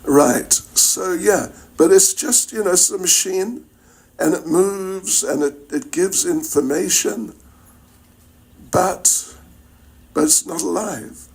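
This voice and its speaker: An older man with a New Zealand accent gives an informal spiritual lecture in a halting, reflective, conversational way, checking in with his listeners. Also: high voice, gravelly, breathy edge. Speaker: spiritual teacher giving a lecture. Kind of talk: informal religious lecture to an audience.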